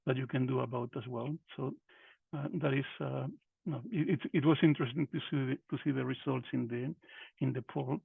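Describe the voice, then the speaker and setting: A man's voice: mid-range voice, vocal fry, slightly rough, dry recording. A middle-aged man from Peru, presenting a workshop over a video call, hesitant, with many fillers and restarts. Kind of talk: presenting a webinar lecture. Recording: tinny phone-like call audio.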